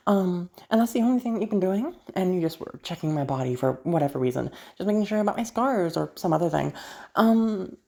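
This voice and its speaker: A woman playing a crazy, seductive female patient in an audio roleplay, teasing and menacing, with halting, drawn-out phrases that drop toward a hush. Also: fairly low pitch, lively intonation, vocal fry. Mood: teasing, scheming. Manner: teasing, halting, drawn-out words with sudden hushed drops. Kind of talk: audio roleplay monologue addressed to listener.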